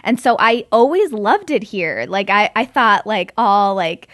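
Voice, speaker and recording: A woman from Belgium chats as an interview guest in a casual, storytelling style. Her pitch sits in the middle range for a woman. The sound is dry, and her talk is informal and sprinkled with "like". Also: animated, a little slow, fairly bright tone, dry recording. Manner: informal and chatty, frequent "like". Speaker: guest, model and entrepreneur.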